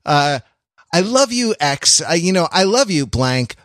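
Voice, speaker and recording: A man hosting a podcast in casual analytical chat, wordy and wry, halting and circling back as he thinks. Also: on the high side, sing-song, a bit bright, no room sound, studio quality. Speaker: podcast host.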